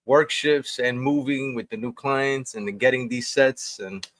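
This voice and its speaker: Easygoing male guest on a video call, mid-pitched, laughs easily, dry close sound. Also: a little slow, a bit bright, no room sound. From New York state. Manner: laid-back, laughs easily, repeats phrases. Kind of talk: casual interview chat.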